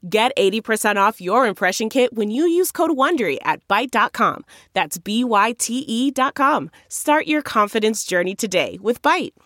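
A woman's voice: on the high side, big pitch swings, normal speed, hardly any pauses, a bit bright, no room sound, clean studio sound. A woman delivering scripted promotional narration as an advertisement announcer.

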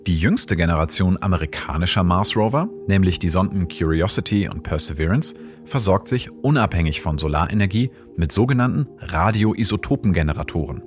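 A man with a low voice and a lively, rising-and-falling delivery talks through science in a calm, measured way. His narration is scripted and explanatory. Soft music plays faintly underneath.

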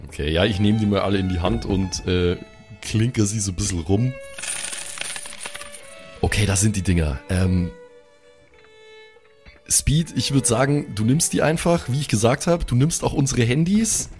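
A man's voice: lowish voice, normal speed, pauses often, a little mellow, faint music behind. A man playing a tabletop game, speaking in a casual, colloquial, teasing way. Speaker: player in a tabletop role-playing podcast. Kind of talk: casual improvised in-character chat.

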